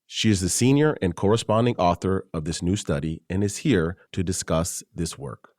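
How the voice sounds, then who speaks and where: A man with a lowish voice hosts a podcast, reading his introduction clearly and asking steady questions. The sound is close and dry. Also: normal speed, no room sound. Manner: clear, composed, reads smoothly. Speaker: podcast host, journal web editor. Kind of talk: scripted introduction and interview questions.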